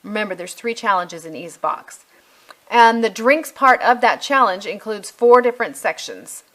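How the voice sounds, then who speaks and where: A woman's voice: medium pitch, moderate pace, fairly bright tone. A middle-aged woman giving a casual product walkthrough as a reviewer, conversational and thinking aloud as she goes. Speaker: homeschool curriculum reviewer.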